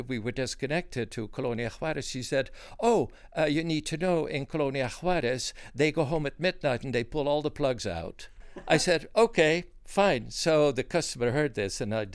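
A man's voice: medium pitch, dry recording. Older man, plain mid voice, dry close sound, rambling reminiscence. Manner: reminiscing, detailed, frequent uh fillers. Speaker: interviewee, former switchboard operator. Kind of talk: conversational storytelling.

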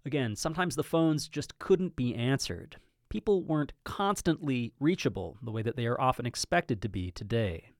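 A man hosting and narrating a podcast in a measured, slightly wry scripted style. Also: mid-range voice, lively intonation, no room sound, clean studio sound.

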